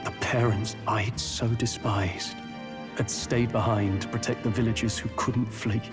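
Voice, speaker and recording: An actor playing an earnest, reflective young nobleman in a video game, with quiet bitterness in his delivery. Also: fairly low pitch, steady tone, a little slow, over music, lightly acted. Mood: grave, bitter. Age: young adult.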